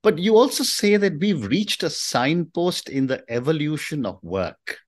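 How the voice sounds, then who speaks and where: A man hosting a podcast interview show, introducing his guest and asking questions in a measured, affirming way. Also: a little high, big pitch swings. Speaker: host.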